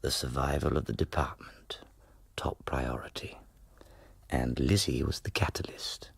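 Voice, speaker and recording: An actor in a radio play playing a scheming, smug radical drama lecturer with a husky, gravelly voice and a sly, measured manner. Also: male voice, extremely low pitch, steady tone, dry recording, whispered, exaggerated voice.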